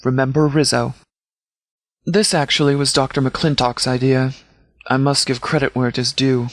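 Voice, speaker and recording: An actor playing a stern but caring military commander in a video game, speaking in a measured, formal, authoritative way. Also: male voice, mid-range voice, level tone, no echo, clean studio sound, lightly acted. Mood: businesslike. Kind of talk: scripted game dialogue.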